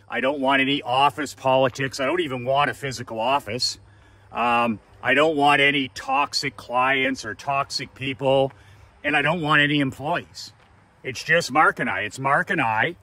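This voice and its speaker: Blunt, bright-voiced man in his fifties. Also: medium pitch, dry recording. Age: 50s. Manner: blunt, profane, emphatic with repeated lists. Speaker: business coach. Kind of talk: motivational monologue.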